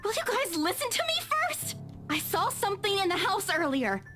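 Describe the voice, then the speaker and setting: A high young female voice plays a shaken visual novel character. She is urgent and insistent, pleading to be believed. Soft music runs behind her. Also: sing-song, moderate pace, exaggerated voice. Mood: frightened, insistent. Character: insistent, frightened young woman, desperate to be believed. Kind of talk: visual novel game voice acting.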